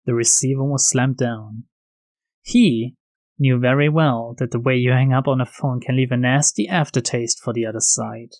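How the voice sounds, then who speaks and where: A man's voice: medium pitch, steady tone, normal speed, dry recording. A man hosting a game video, reading text aloud and adding wry, casual commentary. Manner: informal, wry. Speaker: gaming video host. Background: soft game music.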